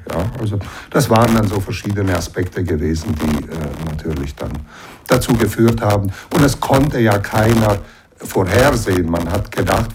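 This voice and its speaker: A middle-aged man from the Banat in western Romania, answering interview questions in a measured, explanatory way with frequent fillers. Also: lowish voice, a little mellow, slight background noise. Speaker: interview guest.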